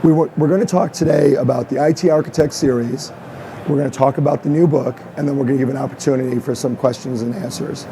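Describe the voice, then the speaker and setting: A man's voice: medium pitch, steady tone, fast talker, noisy background. A man with a strained, fading voice, welcoming the audience and introducing a panel on a conference stage. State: losing his voice. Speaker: host and author.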